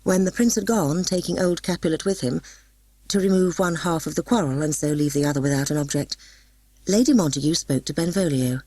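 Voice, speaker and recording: A woman reading a scripted storybook as an audiobook narrator, in a husky voice with dramatic, expressive delivery. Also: deep voice, fairly quick, no room sound, poor audio.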